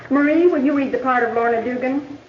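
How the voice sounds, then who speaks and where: A woman playing a strict, weary American high school teacher in a 1950s educational film, speaking formally and firmly. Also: a little fast, lightly acted. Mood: instructing, patient. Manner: firm, formal, instructing. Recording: muffled, echoey, noisy 1950s film soundtrack.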